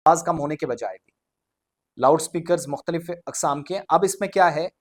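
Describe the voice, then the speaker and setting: A man's voice: fairly high pitch, fairly quick. A man presents news commentary to viewers in an explanatory way, glossing formal terms in English.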